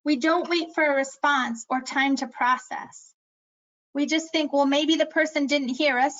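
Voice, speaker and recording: A woman with a high-pitched voice presenting an earnest, instructive talk on memory care, with slightly dull audio. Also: a bit bright. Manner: earnest, instructive, self-aware about speaking fast. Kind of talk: scripted educational presentation.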